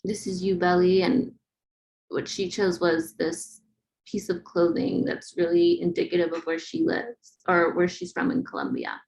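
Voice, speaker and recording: A woman informally presenting her photography project at an online webinar, talking in a casual, halting way. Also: on the low side, animated, creaky, a little mellow, low-quality recording.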